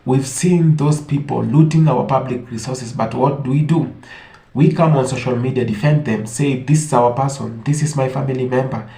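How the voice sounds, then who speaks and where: A man's voice: medium pitch, moderate pace, warm tone. A man giving opinionated commentary, speaking emphatically and posing rhetorical questions. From Africa. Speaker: online commentator. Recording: echoey room.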